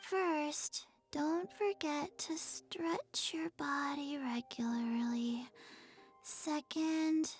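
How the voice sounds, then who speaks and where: A woman voicing a small, solemn, forgetful zombie girl in a video game, with a flat, halting delivery. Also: sits high. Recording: background music.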